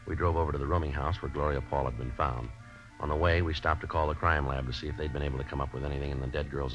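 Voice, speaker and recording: Fast, very deep, deadpan police detective. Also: male voice. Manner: clipped, deadpan, matter-of-fact. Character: businesslike, polite male police detective narrating his case. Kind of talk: 1950s radio crime drama acting and terse narration. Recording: muffled old-time radio recording.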